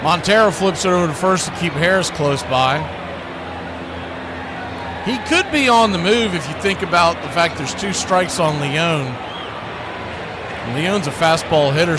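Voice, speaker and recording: A man's voice: a little high, noisy background. A man calling a live baseball game as a radio play-by-play announcer. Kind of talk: live sports commentary.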